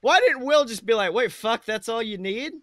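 A man's voice: very high-pitched, animated, normal speed, a bit bright, dry recording, clean studio sound. A man co-hosting a podcast in casual comedic chat, joking with lots of slang and swearing. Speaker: podcast co-host.

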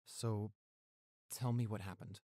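A man's voice: on the low side, steady tone, takes it slowly, mellow, no echo, studio quality. A man playing a protective, tender young boyfriend who turns fierce toward bullies, in an intimate audio roleplay. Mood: gentle, reassuring. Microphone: close studio microphone.

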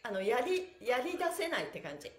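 High-pitched, lively middle-aged woman livestreamer. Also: fairly quick, reverberant. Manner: bubbly, playful, often giggling, addressing viewers. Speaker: pantomime teacher and livestream host. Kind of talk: chatty live-stream exercise class and announcements. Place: indoor room with bare walls and exercise mat.

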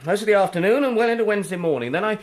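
An actor playing a flippant, hard-drinking young Victorian medical student in a radio drama, theatrical and mocking. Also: male voice, high voice, expressive, racing. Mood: flippant, cocky. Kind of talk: period radio drama acting.

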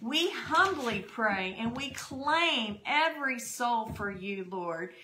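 A woman's voice: a little high, expressive, takes it slowly, bright, forceful tone, slight background noise. A middle-aged woman pastor praying fervently and citing scripture, recorded at home on a desk microphone. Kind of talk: spoken prayer and testimony on a church broadcast. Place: home dining room. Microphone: desk podcast microphone.

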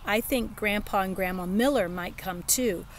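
A woman's voice: medium pitch, normal speed, slight background noise, lightly acted. A woman voicing a patient, somewhat weary mother in a children's storybook.

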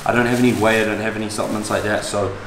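Young male New fitness vlogger, low-pitched and slangy, casual to camera in a noisy, reverberant room. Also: level tone, rapid-fire. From New Zealand. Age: young adult. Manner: blokey, slangy, sweary. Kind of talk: casual vlog chat to camera. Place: indoors in kitchen, living room and gym. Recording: echoey room with background noise.

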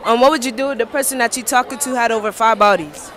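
Teen girl vlogger, high bright voice, teasing street interviewer, crowd behind. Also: quick pace. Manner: teasing, brash, laughs often. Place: outdoor school courtyard. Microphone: handheld lavalier mic held out to guests.

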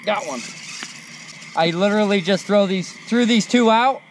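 Excited man fishing at night. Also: high voice, a little slow, noisy background. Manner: excited, talks while reeling in fish. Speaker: fishing vlogger. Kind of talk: casual outdoor commentary. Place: riverbank at night outdoors. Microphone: action camera, sometimes far.

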